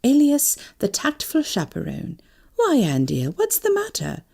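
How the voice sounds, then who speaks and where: A woman voicing a sharp, playful lady friend in an audiobook, with a brisk, arch manner. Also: on the high side, wildly swooping pitch, vocal fry, slightly rough, pitched above the performer's own voice, exaggerated voice. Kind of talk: audiobook character dialogue.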